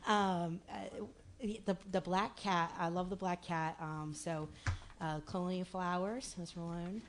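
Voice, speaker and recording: Raspy, somewhat low woman, city official; casual, filler-heavy public remarks over faint room hiss. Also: fairly even intonation, unhurried. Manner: chatty, full of fillers and restarts. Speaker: city official at commission meeting. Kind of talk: public address at a meeting. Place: city commission meeting room.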